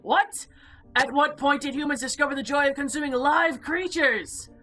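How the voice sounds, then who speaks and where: A haughty, predatory monster noblewoman from a visual novel, acted out by a woman. Her voice is fairly high and bright, with formal, imperious phrasing that treats humans as lesser things. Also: normal speed, soft background music. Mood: gleeful menace. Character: haughty, predatory female eldritch monster diner.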